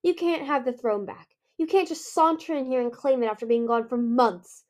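A woman's voice: high voice, normal speed, close to an everyday voice. A woman voicing a furious, defiant young dragon queen in a storybook, choking and spitting out her words. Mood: firm defiance. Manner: shouting, choked, spitting out words.

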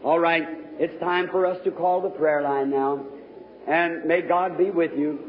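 A man's voice: on the high side, fairly even intonation, unhurried. A man preaching fervently to a revival congregation as an evangelist, exhorting his listeners. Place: large reverberant meeting hall. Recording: tinny, noisy, echoing old hall recording.

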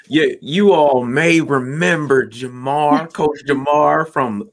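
A man's voice: fairly high pitch, slow pace. Male host, enthusiastic and chatty, casual interview delivery. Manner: enthusiastic and chatty, restarts sentences. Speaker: podcast host. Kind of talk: upbeat interview hosting.

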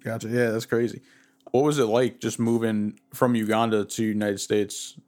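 A man's voice: lowish voice, flat delivery, normal speed, darkish tone. A man hosting a soccer podcast, asking laid-back questions and giving short replies. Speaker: podcast host. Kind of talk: casual interview.

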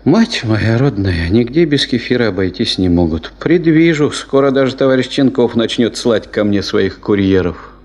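An actor playing a boastful, folksy gold prospector in a radio play, theatrical and cheerfully self-important. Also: male voice, medium pitch, expressive, normal speed, few pauses, darkish tone, slight background noise, close to an everyday voice.

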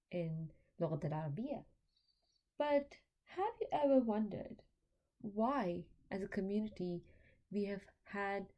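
A young woman, a graduate student, hosting an educational podcast, explaining in a measured way and speaking directly to parents. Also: fairly low pitch, dark tone. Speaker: podcast host; graduate student in applied linguistics. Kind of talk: scripted educational podcast talk.

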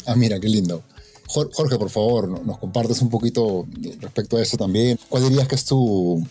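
A man hosting an interview, asking friendly questions in a halting way. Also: on the low side, warm tone, soft background music. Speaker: host.

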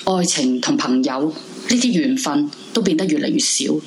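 A lonely, heartbroken woman voiced by an actress with a slightly low voice, brooding and weary. It is a horror radio play, recorded live with noticeable noise. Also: animated, moderate pace. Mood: melancholy, brooding. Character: lonely, weary, clinging heartbroken woman past twenty-five. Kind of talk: live horror radio drama, confessional monologue. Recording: noisy live room recording.

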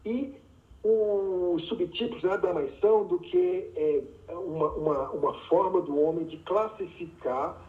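A man, a physician and researcher, patiently explaining as a guest in an interview with everyday examples. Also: fairly high pitch. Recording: distant, echoey, phone-like remote audio.

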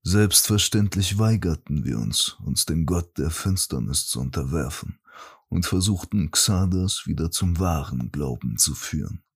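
A man voicing a solemn, righteous archmage priest who speaks in a formal, pompous, husky way in a fantasy audiobook. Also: rumbling low, expressive, unhurried, heavily acted. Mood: grave indignation. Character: solemn, righteous archmage priest reading his letter aloud. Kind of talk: fantasy audiobook, scripted dramatic monologue.

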